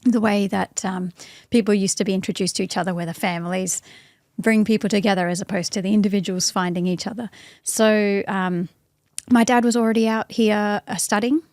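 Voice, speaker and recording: An Australian woman, an interview guest, speaking thoughtfully in a casual conversation with many pauses and restarts. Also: medium pitch, steady tone, a little mellow, no room sound, studio quality.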